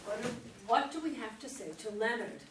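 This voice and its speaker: A woman's voice: fairly high pitch, lively intonation, brisk, background noise. A woman leading an informal group critique, recorded in a room with other people around. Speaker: discussion leader at a play reading. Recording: roomy, distant mic, group chatter behind.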